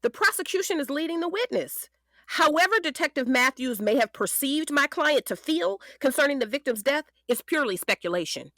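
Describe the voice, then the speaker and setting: An actress playing a determined defense attorney, questioning in a deliberate, emphatic courtroom manner for an audio drama. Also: female voice, high voice, animated, bright, forceful tone, dry recording, heavily acted. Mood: pointed, insistent. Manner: deliberate, emphatic cross-examining. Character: determined female defense attorney, pointed and composed.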